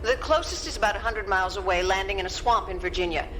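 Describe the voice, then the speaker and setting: A woman's voice: mid-range voice, fast talker, pushed and bright, natural voice, poor audio. A woman playing a composed, professional scientist in a radio drama, precise and matter-of-fact. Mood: focused. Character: composed, professional female scientist advising the president.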